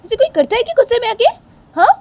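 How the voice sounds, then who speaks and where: A woman's voice: extremely high pitch, very animated, quick pace, darkish tone, voice pushed up. A woman voicing an angry, scolding grandmother in a story, pressing insistent, repetitive questions. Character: scolding, angry grandmother berating her daughter-in-law.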